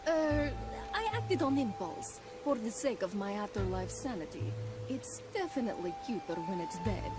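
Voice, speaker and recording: A woman's voice: mid-range voice, lively intonation, normal speed, over loud music, natural voice. A woman playing a sardonic, playful young ghost princess in a video game, wry, teasing and theatrical. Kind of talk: video game character acting.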